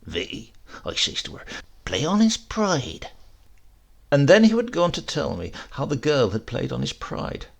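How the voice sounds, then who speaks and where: A male audiobook reader narrates a comic tale with wide, lively swings of pitch. His voice is husky with some gravel, and he relishes each phrase dramatically. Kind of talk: expressive comic short story narration.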